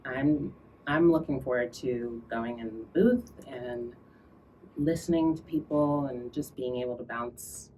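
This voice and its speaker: A woman's voice: very deep voice, measured pace, warm tone, far from the mic, slight background noise, rough recording. A woman talking casually as an actress about her work. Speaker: actress in an audio drama. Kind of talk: casual interview and studio chat.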